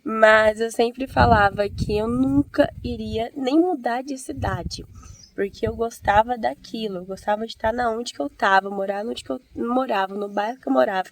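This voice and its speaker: A hoarse woman with a Brazilian accent who has a cold, telling her story in an earnest, chatty vlog as a church-minded host. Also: fairly high pitch, moderate pace. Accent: Brazilian Portuguese. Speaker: evangelical video host. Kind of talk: casual testimony vlog.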